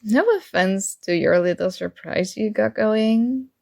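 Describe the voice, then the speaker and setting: A woman's voice: mid-range voice, measured pace, gravelly, a little mellow, no echo, studio quality. A woman acting a young roommate who is shy, teasing and smitten with a friend, in a playful, conversational ASMR roleplay. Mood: shy, fond.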